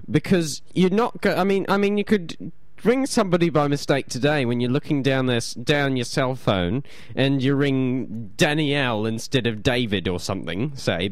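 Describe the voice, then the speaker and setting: A man's voice: medium pitch, dry recording. A man from New hosting a community radio show, chatting casually, rambling and reading notes aloud, often stumbling and repeating words.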